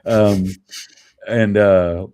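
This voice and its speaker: A man's voice: lowish voice, fairly even intonation, drawn-out words, mellow, clean tone. A Gen X man who grew up in New Jersey, whose first language was Spanish, talking candidly in a rambling interview full of fillers. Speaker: guest. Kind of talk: casual interview chat.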